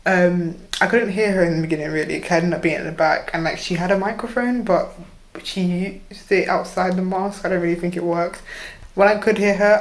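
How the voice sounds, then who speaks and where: A young adult from the United Kingdom, chatting casually to camera and rambling with lots of fillers and quick laughs. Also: medium pitch. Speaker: vlogger. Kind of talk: casual vlog chat. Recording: roomy echo.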